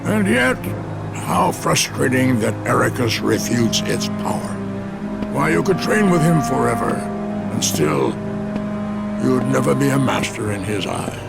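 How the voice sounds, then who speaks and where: Scheming old dark master, heavy creak, unhurried theatrical delivery, video game villain. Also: male voice, mid-range voice, very animated, full character voice. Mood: sly persuasion. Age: older. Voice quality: gravelly. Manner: slow, deliberate, theatrical. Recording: loud music underneath.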